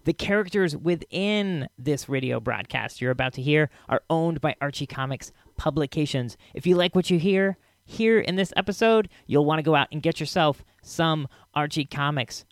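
Podcast host, a fairly high-voiced man. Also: expressive, moderate pace, few pauses, dry recording, clean studio sound. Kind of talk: scripted podcast introduction.

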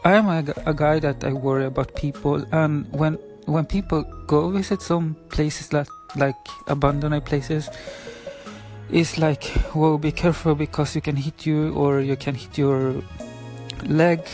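Swedish-accented man, 20s, solo podcaster, halting and apologetic, slightly high pitch, flat delivery, faint music behind. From Sweden. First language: Spanish. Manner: hesitant, many fillers and restarts, apologetic. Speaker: podcast host. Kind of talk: casual solo podcast monologue.

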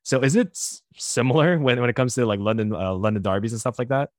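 Fast-talking chatty male podcast host. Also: mid-range voice, animated, dry recording, clean studio sound. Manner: chatty, laughs easily, many fillers like "like". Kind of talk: casual interview chat.

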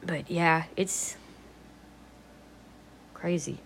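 A woman vlogging in a casual, rambling way, her voice a little raspy from allergies, blunt and free with swear words. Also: on the low side, fairly even intonation, drawn-out words, slight background noise. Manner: chatty, blunt, swears freely. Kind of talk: casual rambling vlog chat.

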